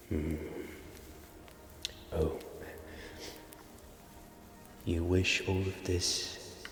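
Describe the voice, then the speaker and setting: Man playing a slow, deep, hushed old wizard. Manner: slow, hushed, murmuring with long pauses. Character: wise, gentle old male wizard guiding a hobbit. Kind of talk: ASMR fantasy roleplay, half whispered. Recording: echoey cavernous reverb, noisy ambience.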